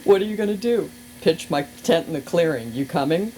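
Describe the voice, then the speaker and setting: A woman's voice: lowish voice, animated, brisk, dark tone, voice pushed up, poor audio. Woman voicing a sardonic hiker man, mock-courteous. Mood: fed up. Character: blunt, sardonic male hiker making mock-polite speeches. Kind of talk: acted dialogue in humorous memoir reading. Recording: hissy amateur cassette tape, faint noise.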